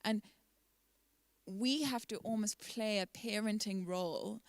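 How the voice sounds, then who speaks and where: A woman's voice: medium pitch, measured pace, dry recording. A young woman speaking in an unscripted church discussion, earnest and careful in how she sets out her points. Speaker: church team member in a discussion.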